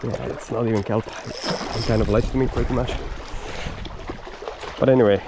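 A man's voice: mid-range voice, fairly even intonation, moderate pace. A man in his forties talking casually to camera while fishing from coastal rocks, chuckling between remarks, recorded on an action camera. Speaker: vlogger, angler. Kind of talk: casual outdoor vlog commentary. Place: outdoors on coastal rocks by the sea. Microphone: action camera on a chest mount and handheld. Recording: outdoor noise from wind and water.

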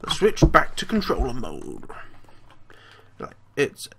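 A man's voice: mid-range voice, huge pitch swings, slow pace, vocal fry, fairly bright tone, slight background noise. A man giving casual, jokey gameplay commentary, sweary and reacting aloud as he plays. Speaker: gamer, let's play host.

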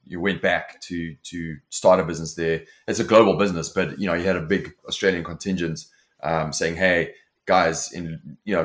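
A man in his forties with a South African accent, a company founder talking casually as an interview guest over a video call, with many restarts and fillers. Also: deep voice. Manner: many restarts and fillers, fluent and forward-driving. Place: home office with shelves.

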